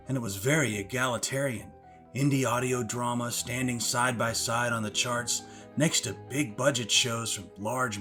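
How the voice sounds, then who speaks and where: A man's voice: medium pitch, fairly bright tone, close to an everyday voice. A man playing a touchy, defensive former podcast critic, interviewed as an expert in a scripted mock documentary.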